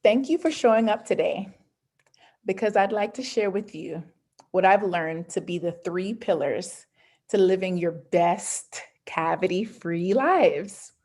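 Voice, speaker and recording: A woman's voice: medium pitch, slow, a little mellow. A woman giving an interactive online talk, speaking emphatically and directly to listeners over a video call. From born in Jamaica, grew up in Georgia, USA. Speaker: pediatric dentist, guest speaker. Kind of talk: prepared, interactive online presentation. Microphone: computer on a video call.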